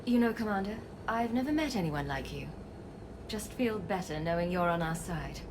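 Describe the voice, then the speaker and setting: A woman's voice: fairly low pitch, lively intonation, moderate pace, noisy background, natural voice. A woman playing an earnest, grateful scientist in a video game cutscene. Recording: music behind the dialogue.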